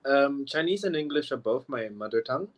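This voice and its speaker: Young male guest from Taiwan, relaxed and chatty, talking over a video call, with an ordinary mid-pitched voice. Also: a little fast, slight background noise. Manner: easygoing and chatty, leaning on "like" fillers. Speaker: guest, professional dancer. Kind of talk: casual interview chat. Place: remote live chat.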